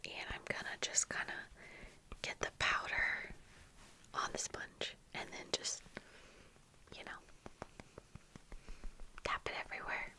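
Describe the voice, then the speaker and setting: Young female ASMR creator, very bright breathy whisper, casual rambling chat at an unhurried pace, close-miked. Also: rough recording. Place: small indoor room with bookshelves. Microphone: close fluffy-covered ASMR microphone, earphones worn.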